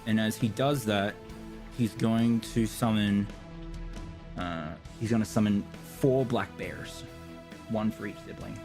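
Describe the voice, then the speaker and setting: Gravelly low-voiced man gaming over music. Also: slow pace, darkish tone. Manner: hesitant, thinking aloud. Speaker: player in tabletop game. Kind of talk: casual in-game description of moves. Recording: clear music behind the voice.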